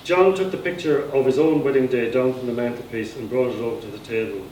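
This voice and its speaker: A man's voice: mid-range voice, fast talker, a little mellow. A man with an Irish accent reading his own literary fiction aloud as a scripted narration. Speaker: author reading own novel extract. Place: indoor reading at a society gathering. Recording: echoey room, distant mic, faint noise.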